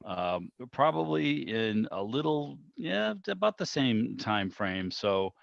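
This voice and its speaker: A man's voice: medium pitch, big pitch swings, slow, vocal fry, dry recording. A middle-aged man from the Boston area reminiscing in a chatty, rambling way on a video call. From Boston area, United States. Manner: chatty, peppered with "you know". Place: online video meeting. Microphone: video call microphone. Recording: dull video call audio.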